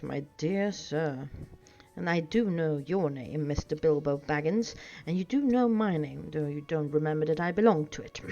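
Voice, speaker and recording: A woman's voice: fairly low pitch, warm tone. A woman voicing a stern, teasing old wandering wizard in a wordy, pointed storybook reading. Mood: indignant. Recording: dull sound with music behind.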